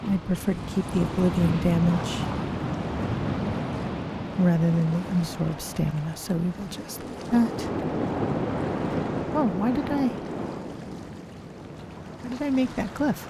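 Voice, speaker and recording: A streamer voicing a gentle, polite cat-folk adventurer, musing unhurriedly through a fantasy video game. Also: medium pitch, measured pace, pauses often, heavy background noise, natural voice.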